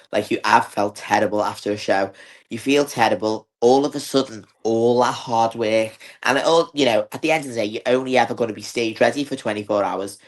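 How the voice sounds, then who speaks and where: A woman with a Liverpool accent, chatting as a guest in an interview and filling her talk with "like". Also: rumbling low. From north of England. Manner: chatty, many fillers like "like". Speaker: bodybuilder guest. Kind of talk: casual interview chat.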